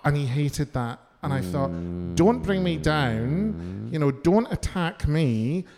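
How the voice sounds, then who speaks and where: A woman's voice: sits low, expressive, takes it slowly, crowd noise. A Scottish woman comedian co-hosting a live chat show, telling anecdotes in a blunt, sweary, theatrical way. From Scotland. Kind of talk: casual comic chat with anecdotes. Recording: echoey hall, live venue.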